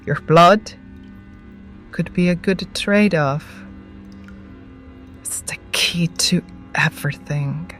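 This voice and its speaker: A woman's voice: on the low side, a bit bright, faint music behind. A woman playing an ancient, starved vampire witch in an audio drama, cruel and mocking, with theatrical menace. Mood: hungry menace. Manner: slow, taunting, theatrical menace.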